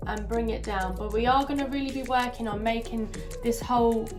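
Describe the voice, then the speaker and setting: Brief: woman vlogger, bunged-up nasal voice, a little high, chatty with music beneath. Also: steady tone, brisk. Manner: chatty, many fillers. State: stuffy nose from a cold. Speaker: beauty vlogger. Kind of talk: casual makeup tutorial.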